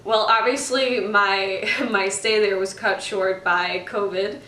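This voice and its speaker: Roomy, halting young woman from Denmark. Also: mid-range voice, fairly even intonation, sharp tone, distant mic. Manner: thoughtful, hesitant with many ums and restarts. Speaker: former student. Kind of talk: casual talk.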